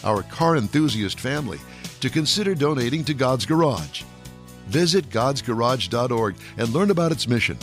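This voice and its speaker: An American man speaking as a radio show host, in a polished presenting style for hosting and sponsor reads. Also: lowish voice.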